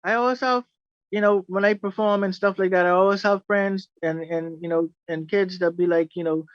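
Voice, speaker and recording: Bahamian trumpeter guest, chatty and rambling, dry dull lo-fi recording. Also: male voice, high voice, fairly even intonation, normal speed, dry recording. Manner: rambling, many "you know" fillers. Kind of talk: casual storytelling interview.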